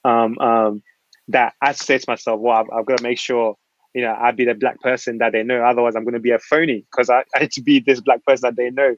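A man who grew up in Ghana speaks at a rapid clip on a panel discussion, filling his points with earnest, rambling asides. He talks through a laptop webcam, and the sound is dry and muffled. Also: mid-range voice, flat delivery, no room sound. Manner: earnest, rambling, many filler phrases. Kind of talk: panel discussion on a video call. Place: small room at home. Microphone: laptop webcam on a video call. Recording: muffled video-call audio.

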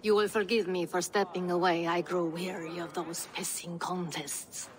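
An actress playing a wry, stoic, shrewd Norse woman in a video game, speaking drily and deliberately. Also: on the low side, level tone, natural voice. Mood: wry, dismissive. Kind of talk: video game cutscene acting.

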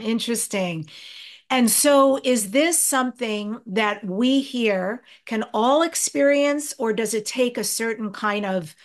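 A middle-aged woman hosting a podcast interview, asking questions enthusiastically over a video call. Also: medium pitch. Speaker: host.

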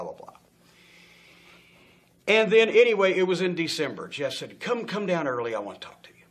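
A middle-aged man telling a long backstage story in a studio interview, acting out the people he quotes, swearing freely and laughing often. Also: mid-range voice. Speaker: interview guest. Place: indoor studio set with stage lights.

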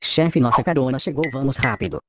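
A man's voice: medium pitch, a little fast, a little hiss or hum, lightly acted. A man with a Brazilian accent playing a mocking, laid-back cartoon henchman with slangy, teasing delivery. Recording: roomy echo, faint music.

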